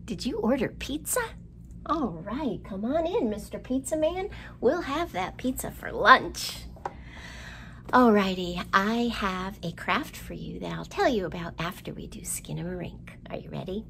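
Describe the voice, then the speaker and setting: A middle-aged woman presents a children's storytime in simple, questioning language. Her pitch moves widely and expressively, and she takes her time. The recording is made indoors on a camera mic, with some noise behind her. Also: animated, unhurried, vocal fry, a bit bright. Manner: simple child-directed talk with questions and exaggerated delivery. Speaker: children's librarian, storytime host. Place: indoor room, seen at a storytime backdrop.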